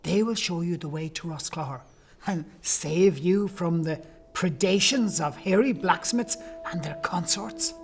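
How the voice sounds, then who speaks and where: A man's voice: high-pitched, measured pace. A man playing a kindly, solemn Irish priest in an audio play, speaking in archaic, declamatory phrases. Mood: indignant.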